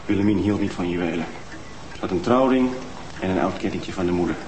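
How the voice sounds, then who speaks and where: A man plays a querulous widower who meets every question with defensive excuses and talks about money. His voice sits lowish, in a roomy, noisy TV soundtrack. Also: fast, close to an everyday voice. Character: evasive, money-minded male widower.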